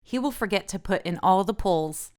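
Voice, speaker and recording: A woman's voice: medium pitch, fairly quick, darkish tone, clean tone. A woman narrating a picture book aloud for children as the host of a read-aloud.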